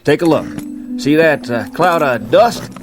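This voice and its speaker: An actor playing a folksy, eager, nervous Old West bartender, chatty and over-assuring. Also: male voice, a little high, expressive, a little slow, music in the background. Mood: nervous eagerness. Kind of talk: Western radio drama acting.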